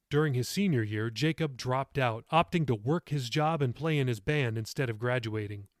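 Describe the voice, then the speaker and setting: A man's voice: fairly high pitch, no room sound, studio quality. A man reading his own novel as scripted audiobook narration.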